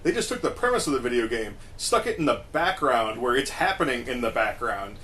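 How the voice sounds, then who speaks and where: A man's voice: medium pitch, sing-song, fairly quick, pushed and bright. A man co-hosting a casual discussion, explaining things at length in a storytelling way. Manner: explanatory, storytelling, acts out short quotes. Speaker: podcast co-host. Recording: faint background noise.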